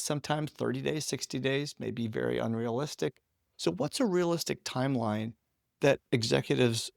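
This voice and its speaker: A man with a mid-pitched, gravelly voice full of vocal fry hosts an interview. He talks in a conversational style, and the recording is close and dry. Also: no room sound. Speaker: podcast interviewer.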